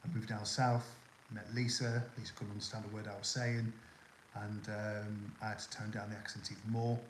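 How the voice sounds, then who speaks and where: A man's voice: lowish voice, flat delivery, normal speed, echoey room, slight background noise, poor audio. An older man with a toned-down northwest English accent from the Liverpool area hosts a casual live chat, rambling and stopping often to fill gaps with "um" as he answers viewer questions. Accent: toned-down Northwest English (Scouse). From Liverpool area, England. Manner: rambling and chatty with many ums and restarts. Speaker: livestream host.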